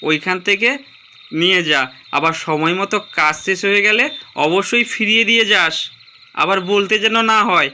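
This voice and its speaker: A man voicing a grumbling but generous young friend in an animated story, blunt and teasing. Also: sits high, unhurried, slight background noise, pitched above the performer's own voice, heavily acted. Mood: generous, bossy. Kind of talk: animated horror story dialogue.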